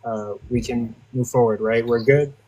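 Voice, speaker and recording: A mid-pitched man moderates a heated live debate. He keeps cutting in to restore order, hurried and full of hesitations. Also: fairly even intonation, darkish tone, muffled recording.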